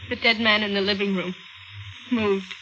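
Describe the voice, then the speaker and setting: A woman's voice: medium pitch, steady tone, moderate pace, sharp tone, close to an everyday voice. An actress playing a frightened, shaky young woman in a 1950s radio mystery, stammering and repeating herself. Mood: anxious, shaken. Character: frightened, shaky young woman insisting she saw a corpse. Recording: noisy, muffled old radio recording.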